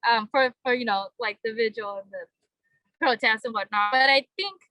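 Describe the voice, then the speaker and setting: A young woman from Vietnam giving a halting, earnest personal speech at a vigil, with many fillers. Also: on the high side, bright, forceful tone, dry recording, poor audio. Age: young adult. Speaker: vigil speaker.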